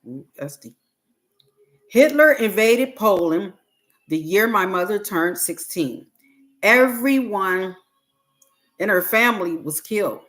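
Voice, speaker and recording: A woman reading a self-help book aloud on a livestream, in deliberate, halting phrases with pauses. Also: mid-range voice, expressive, fairly bright tone, faint music behind, poor audio.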